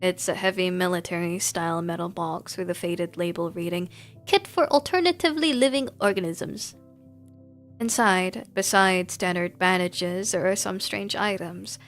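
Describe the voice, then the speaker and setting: A woman's voice: on the low side, little pitch movement, moderate pace, hardly any pauses, a bit bright. Playful woman streamer over background music. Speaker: streamer playing and reading aloud a horror game. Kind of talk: casual livestream chat mixed with reading game text aloud.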